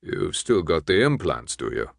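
Gravelly, gruff gray-haired man voiced by a male narrator. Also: deep voice, sing-song, normal speed, no echo, clean studio sound, pitched below the performer's own voice, exaggerated voice. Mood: probing. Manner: curt, dismissive.

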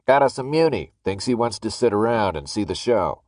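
A man performs a callous masked guard in an audiobook story. He barks and gloats with a bullying sneer. The pitch moves around in an animated way, and the sound is close and dry. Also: a little fast, dry recording, close to an everyday voice. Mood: scornful. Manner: barking threats and gloating. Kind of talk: audiobook character acting.

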